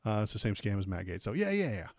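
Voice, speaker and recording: An American man hosting a casual political livestream, rambling and halting with repeated words, irreverent and sarcastic as he chats with viewers. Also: fairly low pitch, sing-song, dry recording. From United States. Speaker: livestream host. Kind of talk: casual political commentary, chatting with live viewers.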